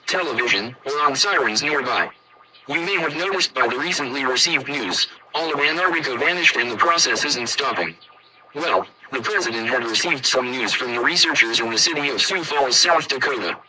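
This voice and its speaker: A man's voice: mid-range voice, lively intonation, a little fast, far from the mic, a crowd in the background, close to an everyday voice, poor audio. A man playing a formal emergency alert announcer, reading a scripted warning. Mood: formal, solemn.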